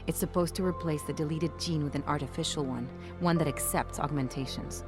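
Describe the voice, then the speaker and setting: Casting: actress as savvy female contact in game cutscenes, deepish voice, blunt, informal; clear musical backing. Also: fairly quick, music in the background, lightly acted. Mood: explanatory, urgent. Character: streetwise, self-reliant female informant, frank and urgent. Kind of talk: video game cutscene acting.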